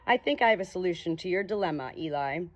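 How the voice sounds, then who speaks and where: A woman's voice: fairly low pitch, animated, fast. A woman voicing a guarded, defiant young female ghost in an audiobook, speaking with control and thinly veiled scorn. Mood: sweetly derisive.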